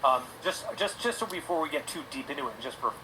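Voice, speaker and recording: A man's voice: fairly high pitch, lively intonation, fast, noisy background. A man hosting a stream interview, asking rambling, chatty questions. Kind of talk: casual interview talk.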